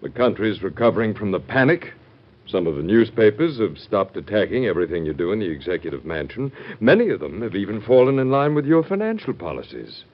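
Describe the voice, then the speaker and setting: An actor with an American accent playing a loyal, admiring cabinet secretary in a mid-century radio drama, formal and earnest. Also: male voice, lowish voice, sing-song, brisk. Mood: reassuring. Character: loyal, admiring male cabinet secretary consoling his president. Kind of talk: 1940s-50s radio drama acting. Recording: muffled vintage radio broadcast recording.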